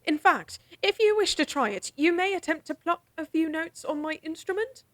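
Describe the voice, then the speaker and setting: A woman's voice: extremely high pitch, normal speed, dry recording. An actress playing a proud young lady-in-waiting and court musician in a fantasy audio drama, formal and a bit exasperated. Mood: eager. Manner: crisp, formal, slightly exasperated.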